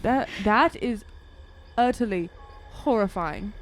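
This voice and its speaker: A woman's voice: mid-range voice, animated, takes it slowly. Female tabletop player, medium register, loose improvised in-character talk, slight background noise. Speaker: tabletop role-playing player. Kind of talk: casual improvised in-character chat.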